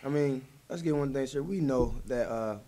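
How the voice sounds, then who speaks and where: A young man, a college basketball player, giving laid-back, low-key answers at a post-game press conference into a table mic. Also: normal speed, dark tone. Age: young adult. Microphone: table mic in front.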